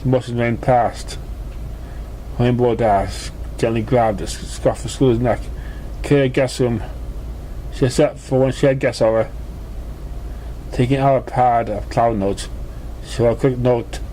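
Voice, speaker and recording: A male amateur reader narrates a story in a halting way, tripping over words as he goes. His pitch is average for a man. The recording has clear background noise. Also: steady tone, mellow, low-quality recording. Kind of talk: scripted fan fiction narration.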